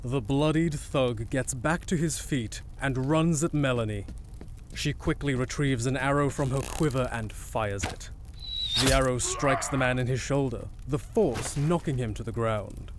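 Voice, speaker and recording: A man's voice: medium pitch, unhurried, background noise, natural voice. A man reading dramatic scripted narration for an audio drama as its narrator.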